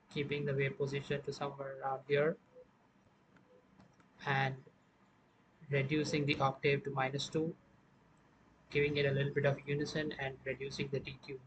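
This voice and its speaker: A man presenting an informal music production software tutorial, explaining step by step in a halting way. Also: fairly high pitch, dull audio, background noise.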